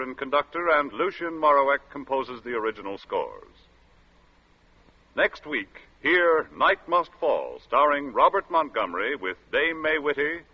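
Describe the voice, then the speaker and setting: An American man announcing in formal, polished 1940s radio style from a script. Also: medium pitch. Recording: dull vintage radio recording.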